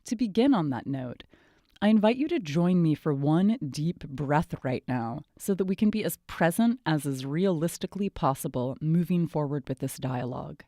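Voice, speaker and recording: Calm low-voiced woman host. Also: normal speed, no room sound, clean studio sound. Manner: calm, guiding. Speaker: podcast host. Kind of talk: scripted podcast introduction.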